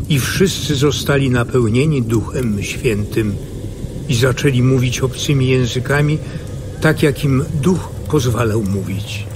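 A man with a medium-pitched voice narrates an audio Bible drama. He reads scripted scripture as a storyteller, with clear ambient noise behind him. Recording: studio voice over clear background noise effects.

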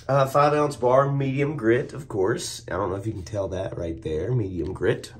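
A young man with a hoarse voice reviewing soap in a casual, laid-back way, recorded on a phone camera at arm's length in a small room at home. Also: lowish voice, normal speed. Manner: laid-back, chuckles at his own jokes. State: hoarse, losing his voice. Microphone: phone or webcam at arm's length.

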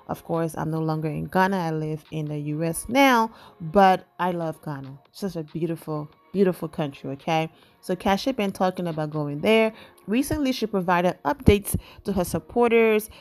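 A woman's voice: on the low side, darkish tone. A woman from Liberia with a Liberian accent, hosting a casual celebrity news vlog and talking to her viewers with emphatic asides. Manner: chatty, emphatic asides with "okay?". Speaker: entertainment news vlogger. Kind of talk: casual gossip commentary.

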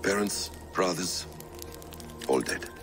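Casting: brooding warrior assassin, low creaky voice, solemn and measured, video game cutscene. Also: male voice, lively intonation, exaggerated voice. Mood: wistful. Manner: measured, solemn, sparing with words. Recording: loud game music behind.

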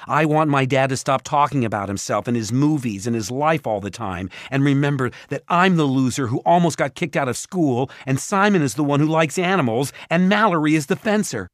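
A man's voice: on the low side, lively intonation, normal speed, few pauses, no room sound, studio quality. A man voicing an anxious but defiant young boy in a storybook, earnest and strained. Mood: angry, hurt.

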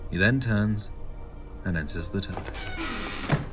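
Low-voiced male drama narrator. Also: slow, over music. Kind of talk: scripted audio drama narration.

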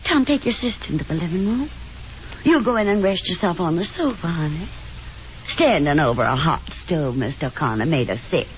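A woman's voice: lowish voice, animated, brisk, close to an everyday voice. A woman playing a chatty, genteel middle-aged Southern mother, fussy and nostalgic, in a 1950s radio drama. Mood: fussy, insistent. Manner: garrulous, affected gentility. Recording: muffled, noisy 1950s radio recording.

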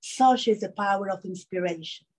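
A middle-aged woman from Mexico with a Mexican accent, a Spanish speaker giving a lecture in English from a prepared text, reading quotations slowly and carefully. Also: mid-range voice, darkish tone. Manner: measured, reads quotations slowly. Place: seminar room with projection screen.

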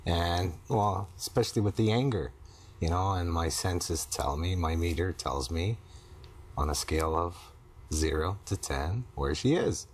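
A middle-aged man in couples counseling, talking haltingly about himself in short fragments. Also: deep voice, animated. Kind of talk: halting personal conversation. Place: small TV-style studio set, indoors.

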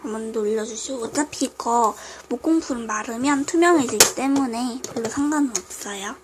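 A woman's voice: a little high, normal speed. A woman with a cold casually narrating a craft tutorial, apologetic and muttering self-critical asides. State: voice affected by a cold. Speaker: craft video host. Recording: noisy home recording with clicks.

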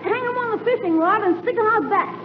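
A man's voice: very high-pitched, fast talker, muffled recording. A man playing a cheeky, eager young American schoolboy nephew, slangy and wisecracking, in 1940s radio comedy. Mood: excited, cheeky. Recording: noisy echoey 1940s radio broadcast with audience.

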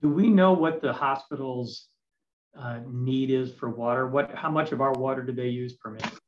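Male committee member, average pitch, decisive and methodical, dull call line. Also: moderate pace, slight background noise. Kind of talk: meeting discussion. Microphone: video call. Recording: dull video call audio.

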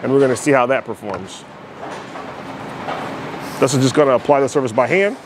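A man's voice: medium pitch, a little fast, background noise. Man explaining bowling ball motion. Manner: explanatory, many fillers and "right?" asides. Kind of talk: casual product demo commentary. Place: bowling alley.

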